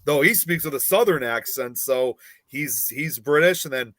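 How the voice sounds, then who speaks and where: A man's voice: medium pitch, expressive, moderate pace, fairly bright tone, no room sound. Chatty male podcast co-host, dry sound. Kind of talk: casual podcast chat.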